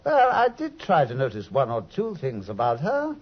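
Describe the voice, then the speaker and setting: An earnest doctor, eager and exclaiming, very animated pitch, husky, muffled old radio sound. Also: male voice, moderate pace. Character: earnest loyal male doctor, the detective's companion. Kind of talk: 1950s radio drama acting and narration.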